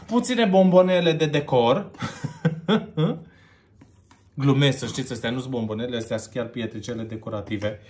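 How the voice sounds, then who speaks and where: A man's voice: medium pitch, pauses often, a little mellow, a little hiss or hum. A man in his thirties from Romania hosts a playful mock cooking show about plants, laughing often and drawing out gushing words while working with his hands. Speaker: host of a plant arranging show. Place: home room with plant wallpaper. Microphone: camera at a few feet. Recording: echoey room.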